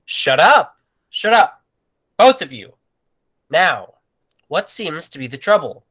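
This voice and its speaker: A man's voice: a little high, very animated, slow, a bit bright, breathy edge, exaggerated voice. A man playing an exasperated circus manager in a 1940s-style radio play.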